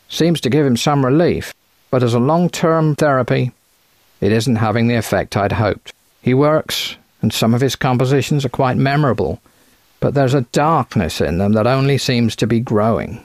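A man's voice: mid-range voice, dry recording, natural voice. A man voicing an eager, chatty American physician with a quacking voice and folksy, clipped phrases in an audiobook. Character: thin, eager American physician running a sanitarium.

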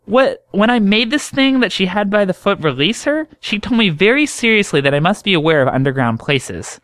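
A man's voice: sits high, sing-song, fairly quick, fairly bright tone, no room sound, voice pushed up. A man voicing an earnest young man who explains his strange dreams in a thoughtful, reasoning way.

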